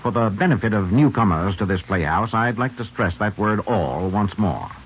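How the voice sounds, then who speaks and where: A man hosting and narrating a 1940s radio drama in a formal, measured, scripted style. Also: on the low side, lively intonation, a little hiss or hum. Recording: thin vintage radio broadcast, phone-like.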